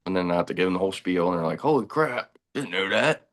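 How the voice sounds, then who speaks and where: A man in his thirties, a band's bass player, talking in a relaxed, rambling way during a casual chat. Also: fast. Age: 30s.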